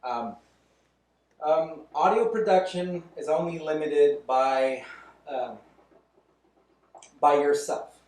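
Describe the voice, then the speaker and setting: A man's voice: a little high, slow pace, pauses often, darkish tone. A man in his thirties talking loosely and candidly as a podcast guest, chuckling between thoughts. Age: 30s. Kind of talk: casual interview chat. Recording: roomy echo, mic at a distance.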